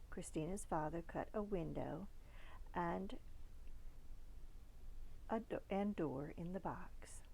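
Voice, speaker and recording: A woman reading a children's picture book aloud in a deliberate way, pausing between short phrases. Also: medium pitch, creaky, a little mellow, slight background noise, low-quality recording. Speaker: storybook reader.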